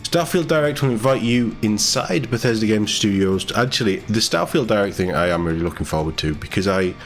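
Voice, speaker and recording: A British man with a fairly low voice, talking as a gaming YouTuber. He reads news casually and adds sardonic, sweary commentary, with faint guitar music playing behind him. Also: lively intonation, fairly quick.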